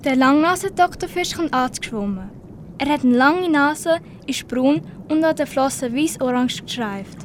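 A woman's voice: sits high, expressive, normal speed, a little hiss or hum. A teenage girl reading scripted story narration for an amateur radio play.

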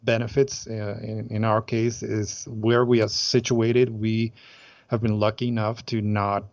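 A man's voice: fairly low pitch, flat delivery, measured pace, darkish tone, no room sound, dull audio. A man from Aruba who organizes group trips, chatting in an interview with many hesitant fillers and restarts. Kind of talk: casual interview chat.

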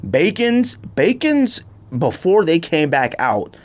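A man's voice: fairly high pitch, very animated, slow pace, slight background noise. An American man hosting a podcast, chatting casually and emphatically in loose slang. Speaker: podcast host. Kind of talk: casual chat about sneakers.

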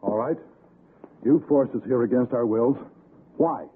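An actor playing an older, composed city husband, measured, educated and skeptical, in a 1960s radio suspense drama. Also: male voice, mid-range voice, a little hiss or hum, lightly acted. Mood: indignant. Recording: tinny, lo-fi vintage radio recording.

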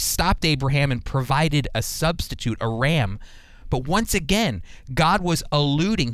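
A man's voice: fairly high pitch, fairly bright tone, smooth, no room sound. A man hosting a radio Bible teaching program, speaking conversationally and repeating phrases for emphasis.

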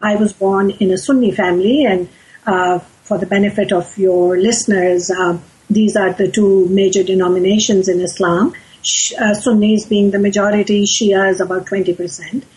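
A woman in her sixties from Pakistan, an author and activist answering interview questions in a measured, thoughtful way with frequent pauses. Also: medium pitch, steady tone, a little mellow, husky, low-quality recording.

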